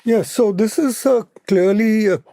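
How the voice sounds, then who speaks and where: Indian guy as podcast expert, soft mellow tone, explains stuff step by step, really dry recording. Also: high voice, a little slow, gravelly, dry recording. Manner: explanatory, listing points, often ending on "right?". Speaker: expert podcast guest and advisor.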